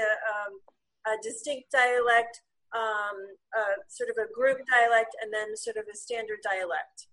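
A woman's voice: fairly high pitch, sharp tone, rough recording. Woman instructor explaining with many ums. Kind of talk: casual video-call discussion. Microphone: video call.

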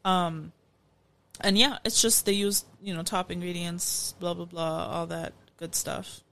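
A woman's voice: on the low side, level tone, a little slow, fairly bright tone. A woman co-hosting a casual food-review chat, explaining background details with many "like" and "um" fillers. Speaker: podcast co-host.

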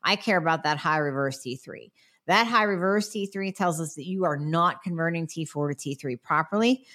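A woman's voice: low-pitched, normal speed, fairly bright tone. A middle-aged woman who treats patients, answering viewer questions in a frank, chatty way and sounding nasal from a cold. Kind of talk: casual Q&A talk.

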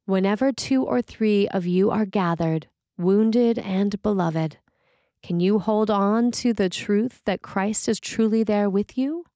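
A woman preacher reading a written sermon in a measured, reflective and earnest way. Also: mid-range voice, mellow, dry recording, clean studio sound.

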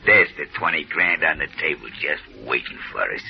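A gruff masked gunman. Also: a bass voice, fairly quick. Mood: menacing. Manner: gruff, threatening. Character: tough masked male gunman, menacing. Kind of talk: 1940s radio acting. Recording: dull lo-fi old radio recording.

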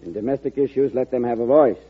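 An actor playing a self-assured, stubborn politician in a 1940s radio drama, speaking formally and firmly. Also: male voice, mid-range voice, fast, breathy edge, natural voice. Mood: firm resolve. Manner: declarative, formal, unbending. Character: self-assured, stubborn politician, coolly defying his party. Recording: tinny, narrow old radio recording.

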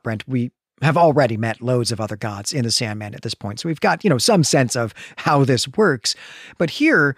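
A man with a mid-pitched voice talks as a podcast co-host. He explains things in a casual but bookish, discursive way. The sound is dry, with little room to it. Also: dry recording.

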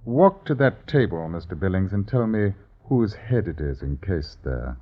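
On a muffled, hissy old radio recording, a stern sheriff of bygone London. His low voice questions formally, rising and falling sharply with authority. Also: sing-song, moderate pace. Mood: stern, probing. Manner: formal, interrogating, archaic phrasing. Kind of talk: old radio drama acting. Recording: dull, noisy vintage radio recording.

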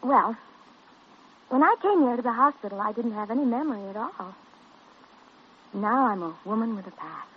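A woman's voice: fairly high pitch, expressive, normal speed, long pauses, slightly rough. An actress playing an earnest, emotional young woman patient in 1950s radio drama, sweet in manner. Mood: bright, grateful. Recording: dull, noisy old radio recording.

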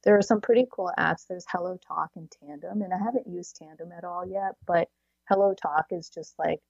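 Woman in her thirties, gravelly fry, lowish voice, casual chatty guest, dull dry recording. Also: steady tone, a little fast, a little mellow, no room sound. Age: 30s. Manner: chatty, many "like"s, laughs between phrases. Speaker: podcast guest. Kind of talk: casual conversational interview.

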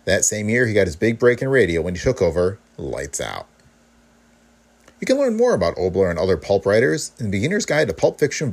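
A man with a husky voice reading scripted narration as a podcast host. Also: lowish voice, darkish tone.

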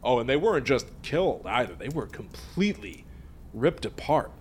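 A man's voice: medium pitch, sing-song, normal speed, a little hiss or hum, natural voice. A man playing a smug, teasing friend who spins spooky tales in an audio drama. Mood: morbid, teasing. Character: smug, teasing male friend telling a gory local legend. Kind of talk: naturalistic audio drama acting.

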